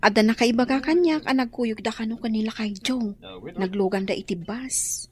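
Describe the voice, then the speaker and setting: High-voiced concerned friend played by a woman. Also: normal speed, darkish tone, slight background noise, natural voice. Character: concerned female friend passing on news. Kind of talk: Ilocano radio drama acting.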